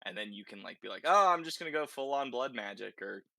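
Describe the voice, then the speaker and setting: A man speaks as a podcast host in relaxed game talk. His mid-range voice is very bright and forceful, recorded dry. He is conversational and often tags his points with a quick check for agreement. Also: medium pitch, creaky, dry recording. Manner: conversational, checks in with tag questions. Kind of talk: casual video game discussion.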